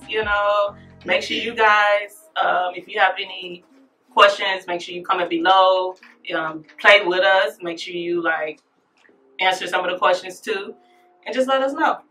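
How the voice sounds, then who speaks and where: A woman's voice: mid-range voice, sharp tone, far from the mic. A young woman co-hosting a casual chat show, laid-back and laughing, with slangy talk. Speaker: co-host.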